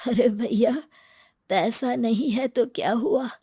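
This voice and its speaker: A starving poor man performed by a woman in a cartoon. The voice is high, dark and husky, slow and humble, with a weak but generous air. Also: dry recording, clean studio sound. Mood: humble, weary.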